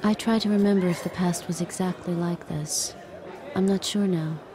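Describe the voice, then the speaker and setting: A woman acts out a wary, thoughtful captive in a modern radio play. Her voice is on the low side, measured and guarded. Music is clearly heard behind her. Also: fairly even intonation, crowd noise, close to an everyday voice. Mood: reflective, detached. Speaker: actor in an audio drama. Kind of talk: modern audio drama acting with inward narration.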